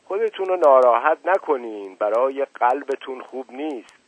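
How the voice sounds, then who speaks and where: Flustered family doctor voiced by a man, soothing, husky, dry narrow sound. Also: mid-range voice, expressive, dry recording, pitched above the performer's own voice, natural voice. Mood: placating. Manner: soothing, placating, repeats himself. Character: polite middle-aged family doctor, flustered. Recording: tinny narrow-band, dry.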